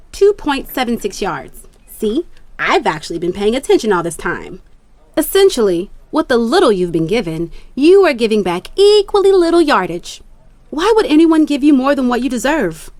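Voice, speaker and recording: A young adult actress playing an ambitious female sports agent who lectures in a crisp, cutting way. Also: mid-range voice, dry recording, close to an everyday voice. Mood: scolding. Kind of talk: audio drama dialogue.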